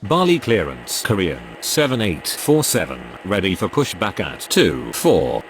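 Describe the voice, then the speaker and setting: A man's voice: fairly low pitch, wildly swooping pitch, measured pace, a little hiss or hum, exaggerated voice. A man voicing a formal, businesslike airline pilot in a game, using clipped radio phraseology.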